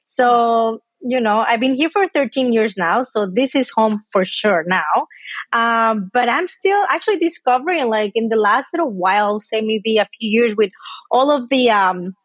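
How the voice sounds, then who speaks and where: A woman's voice: a little high, expressive, moderate pace, no echo. A woman in her thirties from Mexico, speaking English. She chats casually as a podcast guest, with lots of fillers. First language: Spanish. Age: 30s. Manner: chatty, with frequent fillers and laughs. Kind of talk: casual interview chat.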